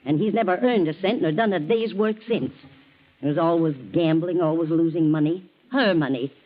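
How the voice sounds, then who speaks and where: A woman playing an excitable, defensive, sharp-tongued housekeeper in 1940s radio acting, emphatic and easily flustered. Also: lowish voice, moderate pace, lightly acted. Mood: gossipy, bitter. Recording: muffled vintage 1940s radio recording.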